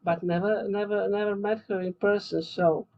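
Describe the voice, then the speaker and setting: A woman from Croatia speaking on a video call, slow and friendly, often laughing between phrases. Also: mid-range voice, steady tone. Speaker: meeting participant. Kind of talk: casual video call talk. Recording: dull lo-fi video call audio.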